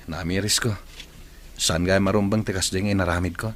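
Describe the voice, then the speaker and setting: A man's voice: low voice, steady tone, unhurried, a bit bright, slight background noise. A man playing an envious, critical male teacher who pries into his colleagues' affairs in an audio drama. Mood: remorseful. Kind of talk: Ilocano audio drama acting.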